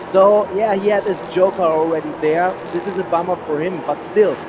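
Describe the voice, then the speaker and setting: A man's voice: high-pitched, steady tone, moderate pace. A man whose first language is German, speaking English as an eager co-commentator analyzing a stock car race. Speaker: co-commentator, racing expert. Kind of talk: live motorsport commentary. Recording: race car noise behind. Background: racing engines.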